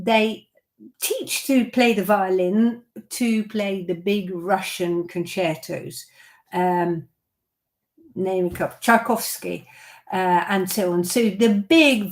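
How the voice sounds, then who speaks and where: A woman from the Netherlands, a violin teacher, chatting through viewer questions on a live stream, with many fillers and restarts. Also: mid-range voice.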